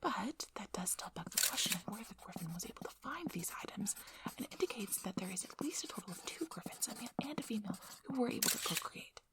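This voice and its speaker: A woman playing a nervous, apologetic, injured young field researcher in an ASMR audio roleplay, breathy and hesitant, stammering as she goes. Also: mid-range voice, lively intonation, quick pace, lightly acted. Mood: hushed, studious.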